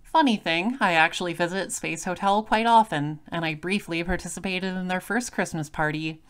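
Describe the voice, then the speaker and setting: A woman's voice: fairly low pitch, moderate pace, close to an everyday voice. A woman playing a composed, droll space detective, narrating an audio drama in a dry, matter-of-fact way.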